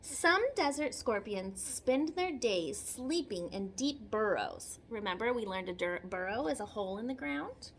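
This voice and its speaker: A woman's voice: on the high side, a little hiss or hum. A woman teacher reading a picture book aloud to children, expressively, with simple chatty explanations, repetition and questions. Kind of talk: read-aloud lesson with chatty explanatory asides.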